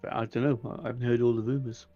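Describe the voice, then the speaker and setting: A man plays a tabletop roleplaying game in a casual way. His mid-pitched voice has a lot of creak. The sound is a little dull, with music faintly behind. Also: flat delivery, fast talker, mellow.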